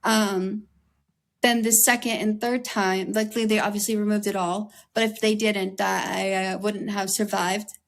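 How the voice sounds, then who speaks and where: A young woman from the United States talks with an interviewer in an earnest, open way. Her voice sits at an ordinary middle pitch with a slight raspy edge. She leans on "like" and "um" as she works through her thoughts. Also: level tone, a little slow. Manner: earnest, heavy use of "like" and "um". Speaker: interview guest. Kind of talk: candid conversational interview.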